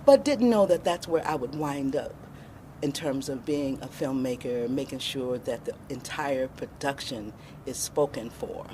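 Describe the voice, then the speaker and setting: An American woman in her sixties answering interview questions in an expressive, emphatic storytelling way. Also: very deep voice, unhurried, noisy background. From born in Alabama, raised in Chicago, USA. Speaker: interview guest, filmmaker.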